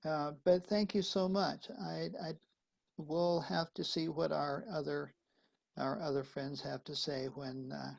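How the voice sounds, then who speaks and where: A man's voice: on the high side, level tone, unhurried, gravelly. A man, a priest, reading a letter aloud and hosting guests in a sermon conversation on a video call. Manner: reads a letter aloud, then hosts and thanks guests. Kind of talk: live-streamed sermon conversation.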